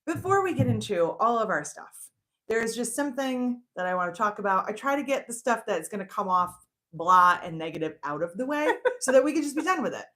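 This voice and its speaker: An older woman hosting a casual live stream from her shop, chatty and quick to laugh at herself. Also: on the high side, big pitch swings, fairly bright tone. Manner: chatty, laughs often, self-teasing. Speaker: shop owner and show host. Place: indoor shop with renovation noise upstairs.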